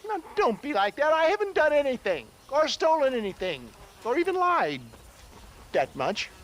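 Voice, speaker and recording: A man's voice: very high-pitched, sing-song, moderate pace, fairly bright tone, heavily acted. An actor playing a shifty, cowardly old snake-oil salesman in a video game, with a pompous, wheedling, hemming-and-hawing manner. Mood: indignant, pleading. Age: older. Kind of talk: dramatic video game cutscene acting.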